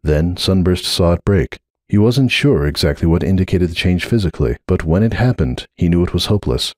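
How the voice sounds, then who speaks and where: A man's voice: low voice, animated, brisk, darkish tone, no room sound, studio quality. A man giving a dramatic scripted narration of a story.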